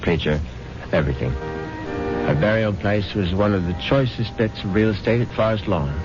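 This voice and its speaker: A man's voice: fairly low pitch, normal speed, close to an everyday voice. An actor playing a scheming husband who narrates his own crime and poisons his rich wife, in 1970s radio drama style. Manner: calm, coaxing, darkly amused. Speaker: narrator and lead character. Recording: dull old radio broadcast with loud music.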